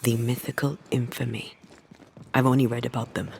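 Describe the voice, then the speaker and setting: A man playing a weary, grave ghost hunter in a video game, somber and measured. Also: mid-range voice, little pitch movement, vocal fry, a little mellow, slight background noise, natural voice. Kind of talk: video game dramatic dialogue.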